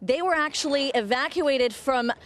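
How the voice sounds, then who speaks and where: Female field reporter, slightly high voice. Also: moderate pace. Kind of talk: news report.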